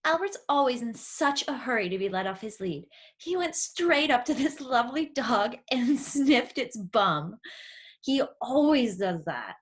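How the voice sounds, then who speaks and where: Woman reading as a cheerful, cheeky storybook girl. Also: fairly high pitch. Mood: cheeky, amused. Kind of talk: storybook read-aloud.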